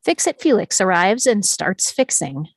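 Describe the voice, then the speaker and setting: A woman's voice: medium pitch, sing-song, a little slow, no echo, poor audio. A woman narrating scripted stage directions at a screenplay table read.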